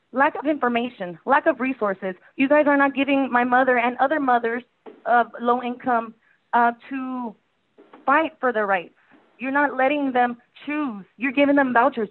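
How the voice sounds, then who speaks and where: A woman from Houston, a native Spanish speaker, making an impassioned public comment over the phone and pressing with questions. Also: sits high. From Houston, Texas. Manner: urgent, pressing rhetorical questions. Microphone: phone call. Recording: tinny phone line.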